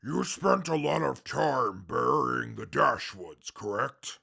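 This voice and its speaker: Guy doing a snooty hot-headed wasteland scout, super gravelly, slow and imperious, audio drama. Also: mid-range voice, lively intonation, sharp tone, exaggerated voice. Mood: wary. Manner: haughty, scornful, formal wording. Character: arrogant, short-tempered, imperious male former wasteland scout. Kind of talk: post-apocalyptic audio drama acting.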